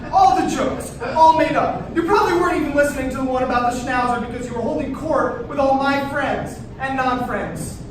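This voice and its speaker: Actor as an exasperated birthday guy, high-pitched, far off. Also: fairly quick, hardly any pauses, a crowd in the background. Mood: aggrieved. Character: exasperated 25-year-old birthday man, wounded and sarcastic. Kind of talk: staged reading of a comedy play. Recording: echoey distant room recording, hissy noise.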